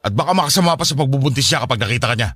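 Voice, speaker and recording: A man's voice: medium pitch, expressive, racing, fairly bright tone, breathy edge, no room sound, clean studio sound. An actor playing a cold, hard-hearted middle-aged father in a radio drama, curt and dismissive. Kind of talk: Filipino radio drama acting.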